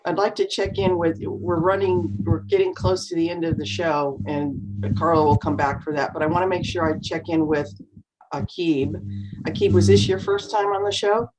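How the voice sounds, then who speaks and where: A woman with a low, deep voice gives advice to English learners on a video call. She explains patiently, encouraging them to practice, and sometimes acts out a nervous speaker. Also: steady tone, moderate pace, noisy background. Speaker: co-host and speaking coach. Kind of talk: online English class coaching.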